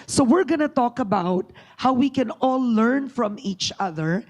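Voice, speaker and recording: A woman's voice: a little high, expressive, a little slow, a little mellow. A woman moderating a panel forum before an audience, addressing the room directly.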